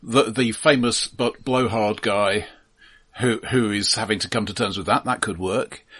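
Husky-voiced, dry, opinionated man. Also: on the low side, fairly even intonation, measured pace, a bit bright. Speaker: podcast co-host. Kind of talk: casual film discussion.

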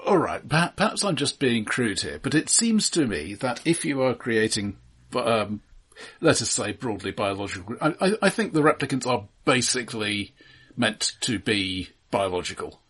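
A man co-hosting a film podcast, talking casually in a halting, dryly analytical way as he thinks aloud. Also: medium pitch, big pitch swings, fairly bright tone, husky.

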